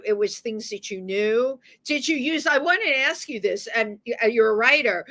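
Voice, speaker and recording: A radio host from the Bronx, talking in a loose, chatty way full of restarts. Her voice sits fairly high and sounds very bright and forward. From the Bronx, New York. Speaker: radio talk show host. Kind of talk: radio interview.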